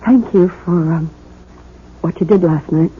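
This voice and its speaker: An actress playing a fragile, anxious young bride in a 1970s radio drama, speaking in a halting, plaintive way. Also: female voice, on the low side, slight background noise, close to an everyday voice. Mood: uneasy, polite. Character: fragile, anxious young bride doubting her own sanity. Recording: muffled old radio broadcast.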